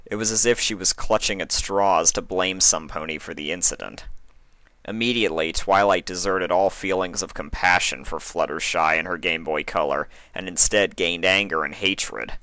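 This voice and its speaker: A man's voice: deep voice, moderate pace, sharp tone, dry recording. A man narrating a written story as a dramatic reading. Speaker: fan fiction reader.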